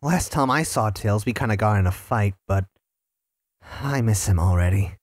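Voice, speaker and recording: A man's voice: lowish voice, normal speed, dry recording, clean studio sound, natural voice. A man voicing a cocky, sarcastic young male hedgehog in a fan audio drama, playful in tone.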